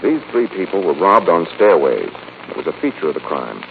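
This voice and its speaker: Muffled old radio recording, very low-voiced man, commanding sleuth, determined, 1940s mystery drama. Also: very animated, fairly quick, creaky, a little hiss or hum, heavily acted. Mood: probing, businesslike.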